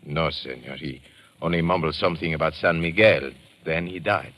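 A man's voice: a bass voice, normal speed, fairly bright tone. A man playing a courteous, cautious Panamanian police lieutenant with a Latin American Spanish accent, formal and hesitant, in old radio drama style. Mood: regretful, puzzled. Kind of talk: 1940s radio drama acting.

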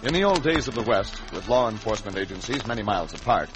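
Dramatic old-time radio announcer, swooping delivery. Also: male voice, lowish voice, big pitch swings, fast, poor audio. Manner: dramatic, scripted storytelling. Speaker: announcer and narrator. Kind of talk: 1940s-50s radio drama narration. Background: music.

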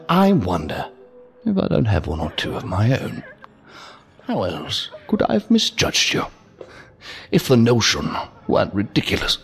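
A man's voice: on the low side, gravelly, fairly bright tone, full character voice. A man playing a sinister, courtly, inhuman villain in an audio drama, verbose and mockingly polite in a mannered, theatrical way. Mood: coolly condescending. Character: sinister, courtly, unhurried villain, inhuman interrogator posing as a gentleman. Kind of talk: science fiction audio drama acting.

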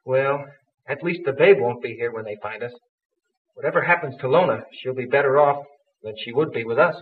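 An actor playing a brash, wisecracking young guerrilla in a 1940s radio adventure serial, full of slang. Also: male voice, medium pitch, brisk, natural voice. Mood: wry. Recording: distant, muffled, noisy old radio recording.

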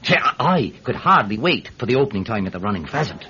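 A man's voice: lowish voice, quick pace. An actor playing a chatty, scheming Irish villager who tells his tale in a folksy, blustering way in a radio drama. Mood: animated storytelling. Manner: animated, folksy, stammering when flustered. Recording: dull, noisy radio-drama recording.

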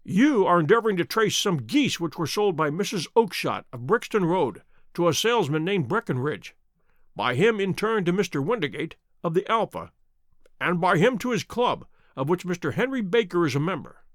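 A man voicing a shrewd, self-assured gentleman detective in an audiobook, courteous and precise in manner. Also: high voice, very animated, moderate pace, no echo, clean studio sound. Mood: bland, assured. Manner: bland, courteous, confident, precise.

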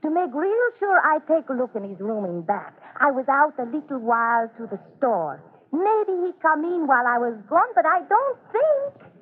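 An elderly immigrant widow, proud and worried for her family. Her high voice swings up and down as she picks through halting broken English with dropped words. It comes through as a tinny, narrow vintage radio drama recording. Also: sing-song. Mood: uncertain, worried. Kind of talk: 1940s radio drama acting. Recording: tinny, band-limited old radio recording.

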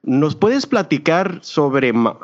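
An upbeat podcast host welcomes his audience and leads the interview with formal introductions. His voice sits a little on the high side. The sound is dull and lo-fi. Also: sing-song, slow pace.

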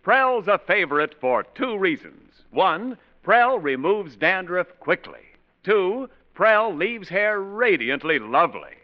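A man's voice: sits high, sing-song, slow pace. A man announcing commercials and credits in a polished, emphatic 1940s radio style. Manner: polished, emphatic sales delivery. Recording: muffled old radio broadcast, music bleed.

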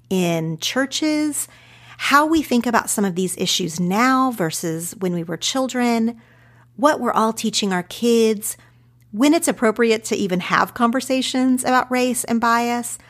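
A woman in her thirties with an Oklahoma accent, introducing her podcast episode in an earnest, reflective way. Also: medium pitch. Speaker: podcast host. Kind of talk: podcast intro then conversation.

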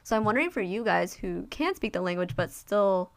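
A woman's voice: mid-range voice, expressive, brisk, vocal fry. Young woman, casual chat, reflective and hesitant storytelling. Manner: reflective, hesitant, many fillers.

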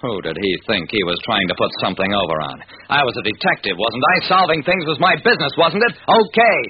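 Stubborn, wisecracking detective narrator, a man acting, lively mid-pitched voice, vintage radio drama with dull, noisy sound. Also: brisk, natural voice. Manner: wry, punchy storytelling. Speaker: narrator and lead character. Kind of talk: old-time radio drama, first-person scripted narration.

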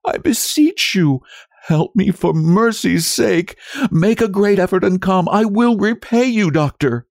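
Man acting a high-pitched, desperate pleading young wife. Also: animated, a little slow, vocal fry, a little mellow, no echo, studio quality, heavily acted. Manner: tearful, urgent, imploring.

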